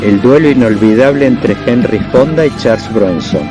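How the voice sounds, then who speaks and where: A man reads a scripted podcast intro at a middle pitch, measured like an announcer. Loud plucked guitar music plays behind him.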